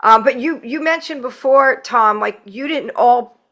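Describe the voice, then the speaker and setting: A woman's voice: fairly high pitch, measured pace, fairly bright tone. A woman hosting a podcast, interviewing guests casually and reacting to what they say. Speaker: podcast host.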